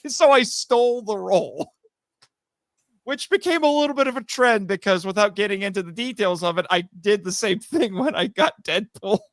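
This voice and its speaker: A man speaking as a podcast guest and amateur voice actor, telling stories casually in an animated, self-amused way. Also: high-pitched, moderate pace, no echo, studio quality. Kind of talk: casual interview storytelling.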